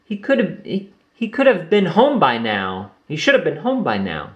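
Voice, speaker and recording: Worried storybook mother kangaroo, high and anxious, a man putting her on. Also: wildly swooping pitch, normal speed, highs cut off. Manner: anxious, firm.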